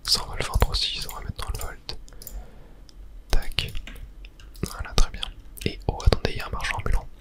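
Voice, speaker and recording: Whispered ASMR gaming commentary, hushed voice. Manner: hushed whispering, unhurried narration of own play. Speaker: gaming ASMR creator and host. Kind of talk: ASMR whispered video game let's play commentary.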